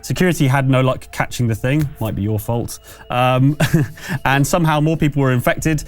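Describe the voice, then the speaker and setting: A man runs a tabletop roleplaying game as its game master. His mid-pitched voice sets the scene like a conversational storyteller, with music playing behind him. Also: moderate pace.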